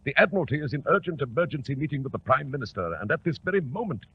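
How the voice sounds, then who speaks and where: An actor playing a formal, somewhat pompous senior British government official in a 1940s radio drama, with a British accent. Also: male voice, fairly high pitch, sing-song, rapid-fire, slightly rough, full character voice. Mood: urgent, anxious. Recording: dull, faintly noisy 1940s radio recording.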